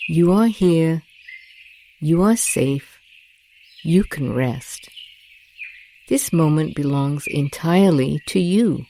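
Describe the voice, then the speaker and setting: A woman leads a guided meditation in a low, relaxed voice. She speaks gently and deliberately, leaving long calming pauses. A light bed of birds and crickets plays behind her. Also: slight background noise. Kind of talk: soothing guided meditation narration. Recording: nature ambience with birds and crickets. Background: rainforest sounds, birdsong and crickets.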